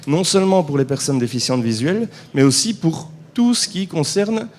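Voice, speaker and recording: A man's voice: a little high, very animated, moderate pace, a little mellow, slight background noise. A man from Belgium, asking a frank, wry question from the floor at a conference. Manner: frank, wry, informal asides. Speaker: transit accessibility manager asking a question.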